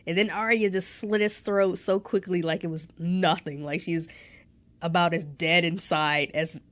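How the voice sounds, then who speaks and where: A young woman with a slightly low voice chats on a podcast, sounding nasal and stuffed up. She laughs often between her lines and talks in a loose, casual way. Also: fairly even intonation, moderate pace. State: congested nose. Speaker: podcast co-host. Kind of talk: casual chat.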